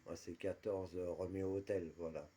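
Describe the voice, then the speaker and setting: A man's voice: low voice, steady tone, moderate pace, over a noisy crowd. A man chatting casually as a radio operator, repeating his confirmations and using radio jargon. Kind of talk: casual radio contact chat.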